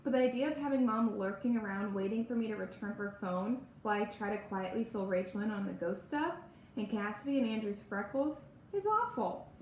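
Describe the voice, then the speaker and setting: A woman reads a spooky first-person children's novel aloud in a dramatic, expressive storytelling manner. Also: mid-range voice, brisk. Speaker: audiobook-style reader. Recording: roomy echo, faint background noise.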